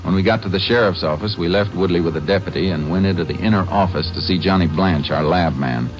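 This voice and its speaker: An actor playing a calm, shrewd Texas Ranger who narrates his case in terse, matter-of-fact old-time radio style. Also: low-pitched, fast talker, music in the background, natural voice. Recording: dull vintage radio recording.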